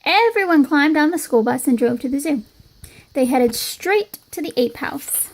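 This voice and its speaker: A woman reading a children's picture book aloud in an expressive storytelling style. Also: sits high, moderate pace, low-quality recording. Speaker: storybook reader.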